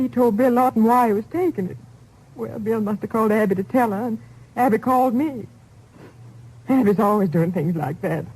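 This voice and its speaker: An actress playing a grieving, bewildered small-town widow, tearful and halting, with folksy speech, in a 1950s radio drama. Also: female voice, fairly high pitch, dark tone, slightly rough, low-quality recording. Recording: old 1950s radio recording.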